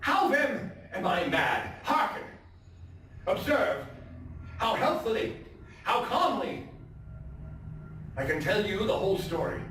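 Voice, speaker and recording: A man performing a mad, agitated murderer who insists he is sane, in a theatrical gothic horror stage reading, halting and emphatic. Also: sits high, sing-song, voice pushed up, full character voice. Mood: agitated, defensive. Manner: halting, emphatic repetitions, swinging from hushed to shouting. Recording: echoey theatre, distant mic, faint noise.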